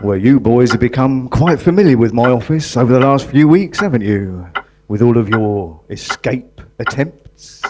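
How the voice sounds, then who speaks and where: A man's voice: mid-range voice, animated, moderate pace, a little mellow, lightly acted. A man playing a stern, exasperated prison governor in live stage comedy, scolding pompously. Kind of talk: live comedy theatre acting. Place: live performance in a museum. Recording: noisy live stage recording.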